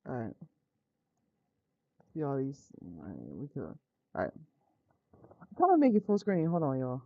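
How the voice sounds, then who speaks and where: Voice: medium pitch, huge pitch swings, a little slow, gravelly, dry recording, phone-quality sound. An American streamer of indeterminate-sounding sex talking informally to viewers, muttering and swearing in a casual live-stream style. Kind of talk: casual live game stream chat with read-aloud visual novel text.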